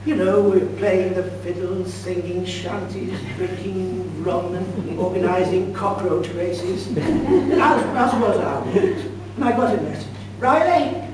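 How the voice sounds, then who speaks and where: Echoey, slow-spoken older male storyteller. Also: sits high. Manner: deliberate, dramatic pauses, mock-grand deadpan delivery. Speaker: speakers club contestant telling a tall tale. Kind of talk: theatrical comic storytelling speech to an audience. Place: indoor function room at a club meeting. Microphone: distant camera microphone. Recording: distant echoey room recording with loud noise and hum.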